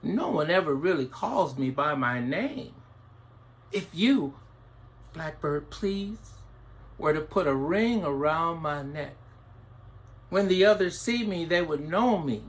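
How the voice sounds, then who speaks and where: A storyteller voicing a gentle gray dove who asks shyly to be noticed, with a hesitant, pleading manner. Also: medium pitch, animated, slow pace, pauses often. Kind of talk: storytelling with voiced characters.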